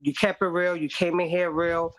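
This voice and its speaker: A woman with a raspy voice chatting casually and supportively on a group call, plain-spoken and affirming. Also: fairly low pitch, little pitch movement, normal speed, low-quality recording.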